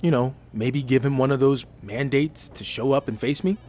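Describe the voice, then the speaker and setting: An actor playing an ambitious, determined champion wrestler in scripted game dialogue, earnest and persistent. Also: male voice, medium pitch, moderate pace, a little mellow, lightly acted.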